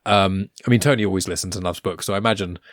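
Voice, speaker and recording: A man's voice: low voice, fairly even intonation, very fast, no echo, clean studio sound. A man hosting a podcast, chatting in a casual, enthusiastic way with frequent restarts. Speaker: podcast host. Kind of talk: casual chat.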